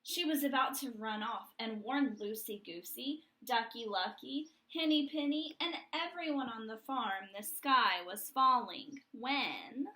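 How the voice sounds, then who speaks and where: Female children's storybook reader. Bright, expressive, unhurried delivery. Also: on the high side, low-quality recording. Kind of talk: expressive children's read-aloud.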